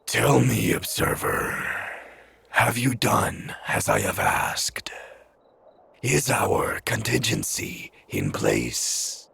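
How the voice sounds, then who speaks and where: A man playing a cruel, imperious demonic spectral master in an audio drama, with a gravelly, hissing growl and formal, menacing delivery. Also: on the low side, wildly swooping pitch, extremely slow, sharp tone, full character voice. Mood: cold, commanding. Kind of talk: audio fiction villain acting.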